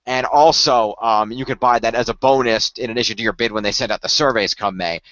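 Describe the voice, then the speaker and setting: A man's voice: mid-range voice, fairly even intonation, a little fast, a bit bright, no echo, highs cut off. A man co-hosting a casual podcast, rambling on in detail with a fan's enthusiasm. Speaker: podcast co-host.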